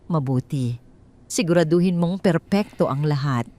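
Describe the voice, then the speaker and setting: A woman's voice: sits low, moderate pace, mellow, close to an everyday voice. A woman voicing a stern, suspicious older rich mother-in-law who speaks formally and with authority in an audio drama story. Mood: stern warning.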